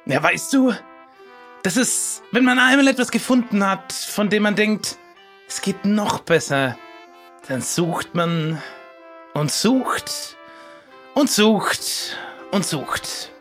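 A man playing a self-satisfied peg-legged pirate cook obsessed with chili in an improvised audio play, savoring his words in a drawn-out, theatrical way. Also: high voice, expressive, a bit bright, faint music behind, full character voice. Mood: wistful.

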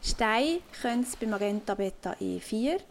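A woman voicing a pirate's treasure note in an audio play, reading it out in a hearty, deliberate way. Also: medium pitch, lively intonation, creaky, a little hiss or hum. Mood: hearty, instructive. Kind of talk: scripted message in an improvised audio play.

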